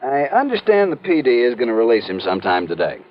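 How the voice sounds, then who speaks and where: An American actor playing an earnest, businesslike insurance official in 1950s radio drama, explaining things emphatically. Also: male voice, medium pitch, huge pitch swings, fast talker. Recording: dull old radio recording.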